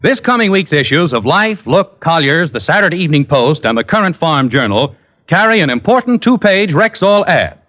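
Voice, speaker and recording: A man's voice: on the high side, big pitch swings, moderate pace, soft background music. A male announcer reading a mid-century radio commercial with polished, emphatic salesmanship. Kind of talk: 1940s-50s radio ad read. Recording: muffled vintage radio broadcast.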